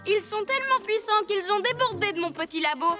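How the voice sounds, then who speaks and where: Very high-pitched scheming cartoon villain girl. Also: lively intonation, a little fast. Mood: mocking. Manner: theatrical, mocking, commanding. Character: scheming, bossy villain girl, mocking and theatrical. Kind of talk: French children's cartoon acting. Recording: loud music under the voice.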